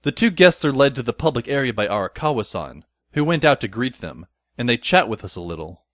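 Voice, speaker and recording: Man as a wry, animated schoolboy narrator. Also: medium pitch, brisk, no room sound, studio quality, natural voice. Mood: wry, musing. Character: wry high school boy narrating his story. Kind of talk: first-person scripted narration, audio drama.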